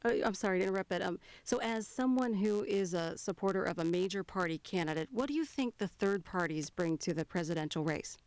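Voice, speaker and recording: A woman's voice: medium pitch, lively intonation, a little fast, hardly any pauses, a little mellow, no room sound. An American woman presenting live news and taking viewer calls as host. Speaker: TV call-in show host.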